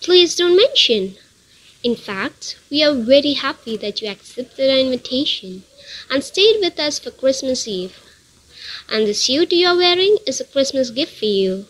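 A woman's voice: sits high, very animated, measured pace, a bit bright, full character voice, poor audio. A young woman playing a kind, compassionate daughter in an amateur radio drama, with an earnest, polite manner. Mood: gently hospitable. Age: young adult.

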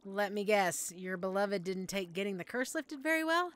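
A woman's voice: mid-range voice, lively intonation, moderate pace, fairly bright tone, natural voice. A woman playing a chatty, flustered young witch-in-training shopkeeper in an audio roleplay, with a theatrical, rambling manner. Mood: chatty, sympathetic. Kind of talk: scripted audio roleplay, addressed to the listener.

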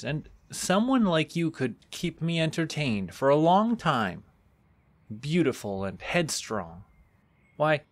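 Archfey captor, played by a man, male, immortal; very animated intonation, silky, teasing, condescendingly affectionate; fantasy audio roleplay. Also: fairly high pitch. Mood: persuasive, tender. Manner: courtly, coaxing, condescendingly affectionate.

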